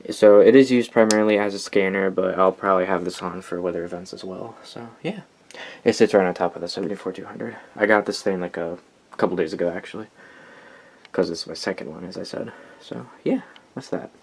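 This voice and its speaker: A man's voice: sits low, a little fast, vocal fry, darkish tone. A young American man giving a casual, rambling show-and-tell as a hobbyist, with enthusiastic asides. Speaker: hobbyist collector presenting weather radios.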